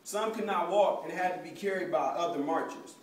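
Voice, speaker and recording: A formal reporter gives a factual account read by a young man on stage. His voice is high for a man and comes from a distance in an echoey room. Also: fairly quick, natural voice. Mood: grave reporting. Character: formal reporter recounting a battered march. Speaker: student narrator. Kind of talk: formal factual report within dramatic reading.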